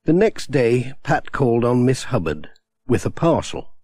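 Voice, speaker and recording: A man narrating a children's storybook in a gentle, expressive way on a close studio mic. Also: medium pitch, a little slow, husky, dry recording. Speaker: audiobook narrator.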